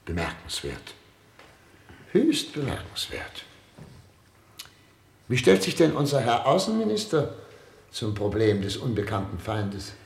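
An actor playing an anxious, imperious head of state with a halting, pompous manner in a satirical radio play. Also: male voice, mid-range voice, wildly swooping pitch, gravelly, darkish tone, echoey room, full character voice. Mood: grave, probing.

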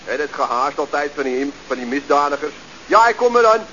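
An actor playing a lazy, cheeky, slow-witted young nephew who has just woken up, in a radio comedy. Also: male voice, a little high, very animated, bright, forceful tone. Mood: startled, cheeky. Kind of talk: 1970s Dutch radio comedy serial acting. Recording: dull, noisy vintage radio recording.

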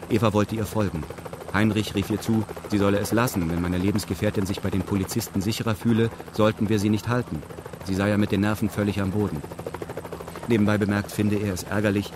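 A male narrator reads a scripted radio drama in a fairly low voice. His delivery is formal and matter-of-fact. Clear ambient noise runs behind him.

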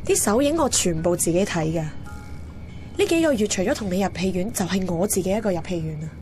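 Lovelorn young female lead played by a woman, Hong Kong Cantonese, introspective radio-drama storytelling, mid-pitched, over prominent music. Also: animated, fast, a little mellow, close to an everyday voice. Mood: frank. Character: lovelorn young woman narrating her romance, wistful and candid. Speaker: narrator and lead character. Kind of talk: radio drama narration and dialogue.

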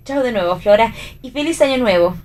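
A woman's voice: a little high, lively intonation, brisk, darkish tone, natural voice. Breezy actress as Argentine female friend. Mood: breezy, teasing. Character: Romy, breezy teasing female friend giving advice. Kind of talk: radio theatre reading, dramatic dialogue.

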